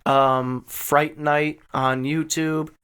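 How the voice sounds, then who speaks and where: A man's voice: a little high, little pitch movement, very slow, fairly bright tone, smooth. Casual joking man, podcast co-host. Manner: profane, joking. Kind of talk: casual comedic podcast banter.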